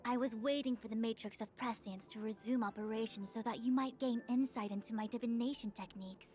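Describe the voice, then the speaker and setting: A woman voicing a calm, formal female seer who speaks in a measured, dryly exasperated way, in a game cutscene. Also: on the high side, soft background music, close to an everyday voice. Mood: composed.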